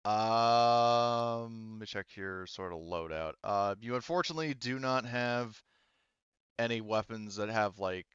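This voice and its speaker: A man's voice: fairly low pitch, unhurried, fairly bright tone, smooth, no echo, dull audio. A man acting as game master for a tabletop game, talking casually with swearing and explaining the rules.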